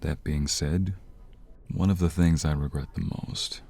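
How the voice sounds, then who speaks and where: A man performs a remorseful mobster speaking softly to the one he loves. The voice is extremely deep and husky, with an unhurried pace. It is intimate and weary, in the style of an ASMR romance roleplay. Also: steady tone, heavily acted. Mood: solemn, remorseful. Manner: soft, slow, intimate, sighing. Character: tender, weary young male mobster, devoted, remorseful boyfriend.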